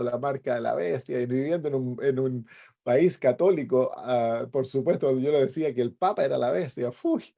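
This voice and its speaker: Mellow middle-aged Chilean pastor giving testimony. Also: male voice, mid-range voice, vocal fry, dull audio. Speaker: pastor and evangelist. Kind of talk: personal testimony.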